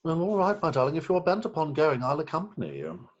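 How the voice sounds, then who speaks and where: A man's voice: fairly high pitch, wildly swooping pitch, quick pace, dull audio. A man playing a fussy, dithering middle-aged British magistrate and indulgent stepfather in a stage farce. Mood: mild. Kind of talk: theatrical staged reading of Victorian farce. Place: home, recorded remotely.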